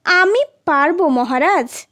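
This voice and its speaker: A woman voicing a wily male courtier who feigns humble piety, coaxing and flattering in an audio folktale. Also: extremely high pitch, takes it slowly, dry recording, clean studio sound, heavily acted. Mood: confident.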